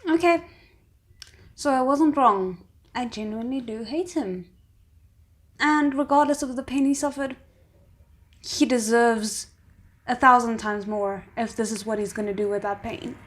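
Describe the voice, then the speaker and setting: A woman's voice: a little high, measured pace, long pauses. A woman hosting a reaction video, commenting casually and thinking aloud in a hesitant way.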